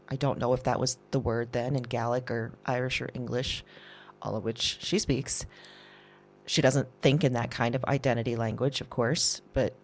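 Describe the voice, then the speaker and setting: Woman acting a shaken, halting diarist, slow and breathy. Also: extremely low pitch, darkish tone. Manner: halting, breathy, repeats herself, drops into whispers. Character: shaken, anxious woman recording a diary of strange visitations. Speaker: audio drama performer. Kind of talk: intimate confessional audio diary acting.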